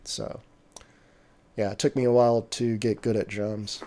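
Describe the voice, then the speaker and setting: A man hosting a music production livestream, chatting casually and reacting to beats, relaxed and full of small fillers. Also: fairly low pitch, measured pace. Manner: relaxed, many fillers and restarts. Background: submitted beats playing.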